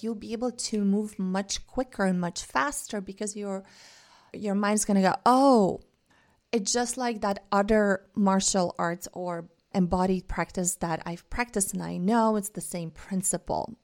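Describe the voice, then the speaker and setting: A woman's voice: mid-range voice, darkish tone. Earnest woman. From Bulgaria. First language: Bulgarian. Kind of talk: reflective monologue.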